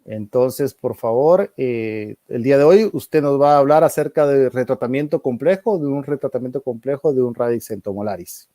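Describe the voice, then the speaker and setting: A man's voice: mid-range voice, normal speed, hardly any pauses, dark tone, no room sound. A man hosting, courteously and formally introducing a speaker and asking questions. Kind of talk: polite introduction and interview.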